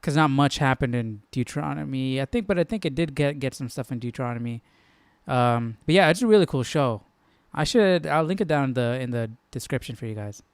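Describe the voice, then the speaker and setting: Guy doing casual bible study commentary, clear smooth voice, really dry close sound, thinks out loud. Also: mid-range voice, lively intonation, fairly quick, dry recording. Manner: informal, thinking aloud, checks with "right?". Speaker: Bible study livestream host.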